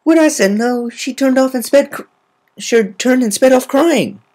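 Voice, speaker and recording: Woman playing a brash, high-pitched troubled girl pony. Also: darkish tone. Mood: distressed, flustered. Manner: blunt, agitated, halting. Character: brash, troubled young female pegasus pony.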